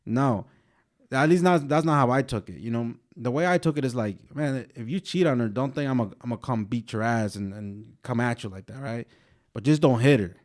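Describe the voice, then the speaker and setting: A young man co-hosting a casual podcast, slightly hoarse from a sore throat, rambling in a laid-back way. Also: medium pitch, fairly quick. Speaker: podcast co-host. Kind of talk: casual chat.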